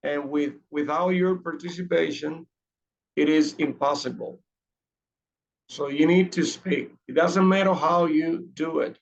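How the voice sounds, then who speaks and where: A man's voice: fairly high pitch, unhurried, vocal fry, highs cut off. A man teaching an English class online, patient and repeating his questions to coax students to speak.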